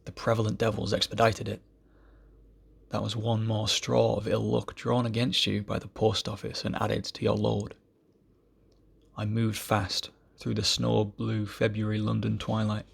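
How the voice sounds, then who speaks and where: A man recites poetry solemnly in a low voice full of heavy creak. His phrasing is measured and deliberate, and the tone is husky, as an amateur reader would give it. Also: monotone.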